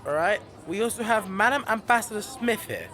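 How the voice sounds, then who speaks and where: A man's voice: high voice, lively intonation, a little fast, bright, forceful tone, some chatter behind. A young man playing an earnest, formal TV news interviewer in a radio-style drama. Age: young adult. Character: earnest male TV news interviewer on crisis show.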